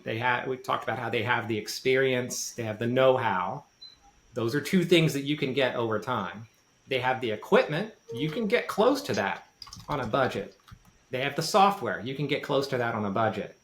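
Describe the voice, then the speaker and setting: A man giving informal, explanatory podcast advice in a light voice without much bass. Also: fairly bright tone. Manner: informal, self-deprecating. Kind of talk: casual explanatory podcast talk. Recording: roomy, echoey sound.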